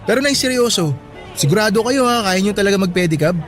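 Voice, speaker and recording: An actor playing a caring college-age son in a Filipino radio drama, speaking politely and gently with earnest deference. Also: male voice, high-pitched, animated, fast talker, faint music behind, a faint crowd. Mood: worried.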